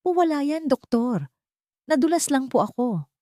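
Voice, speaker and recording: A woman voicing a frightened, guarded twenty-year-old female student in a story, timid and hushed. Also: high-pitched, big pitch swings, measured pace, dry recording, clean studio sound, voice pushed up. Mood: fearful.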